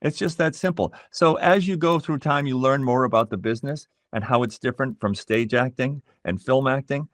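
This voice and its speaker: A middle-aged man being interviewed as a voice actor, explaining things in a measured, reflective way and pausing to find his words. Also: medium pitch, dry recording, studio quality.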